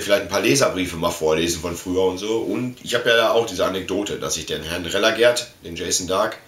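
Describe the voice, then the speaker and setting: A man's voice: lowish voice, fairly bright tone. A man in his forties from Germany, chatting in a colloquial, slangy, enthusiastic way as a vlogger showing off collectibles. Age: 40s. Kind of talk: casual unboxing chat. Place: small indoor room full of collectibles. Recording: echoey room with faint noise, clicks.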